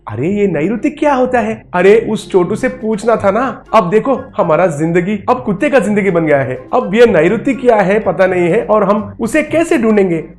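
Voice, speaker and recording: A man voicing an excitable, grumbling, easily scared poor ragpicker in an animated story, exclaiming as he talks. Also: high voice. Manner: exclaiming, complaining. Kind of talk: Hindi animated children's story dialogue.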